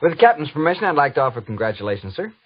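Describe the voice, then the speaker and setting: Eager young lieutenant, swooping pitch, muffled radio. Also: male voice, very animated, rapid-fire. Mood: eager, formal. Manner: formal, deferential, protesting. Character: earnest, defensive young male cavalry lieutenant, eager mapmaker. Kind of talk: old-time radio western acting. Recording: muffled old radio broadcast.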